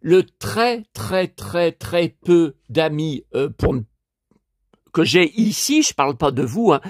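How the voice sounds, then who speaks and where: A man's voice: fairly high pitch, animated, slow pace, dry recording, studio quality. Rambling, sing-song French man. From France. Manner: rambling, complaining, many restarts and repeated words. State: in pain after an injury. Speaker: collector vlogger. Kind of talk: casual monologue to camera.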